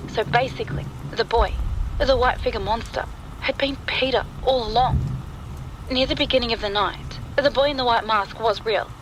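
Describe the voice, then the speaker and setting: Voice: mid-range voice, poor audio. A narrator reading a scripted first-person horror story, with rain and thunder sounds behind the voice. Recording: clear steady rain and storm noise. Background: rain and thunder sound effects.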